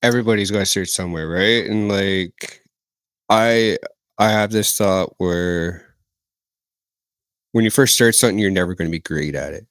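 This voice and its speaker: A man hosting a podcast, asking questions casually and often backing up his guest with quick agreement. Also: low-pitched, a bit bright, no echo. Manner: affirming, short agreeing replies. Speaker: podcast host. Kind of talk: casual interview chat.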